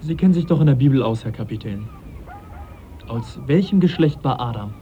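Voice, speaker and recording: A man plays a young, conscientious naturalist in a radio drama. He speaks in a measured, sceptical, politely questioning manner at an average male pitch. The recording is somewhat muffled, with faint noise underneath. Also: expressive, natural voice. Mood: wry, questioning. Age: young adult. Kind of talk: German radio drama acting.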